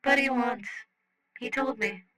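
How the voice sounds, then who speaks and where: A small alien rock creature played by an audiobook reader, with a bright, forceful voice. It speaks blunt, cheerful broken English in clipped phrases, flagging its questions out loud like a translator device. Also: medium pitch, steady tone, measured pace, voice pushed up, rough recording. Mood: frank, encouraging. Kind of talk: scripted fiction dialogue, translator voice.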